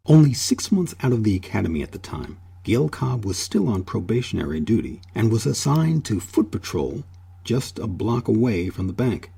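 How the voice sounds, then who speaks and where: A man hosting and narrating a scripted history documentary in a measured, solemn manner. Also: lowish voice, sing-song, vocal fry, dark tone, breathy edge, faint music behind. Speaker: host and narrator.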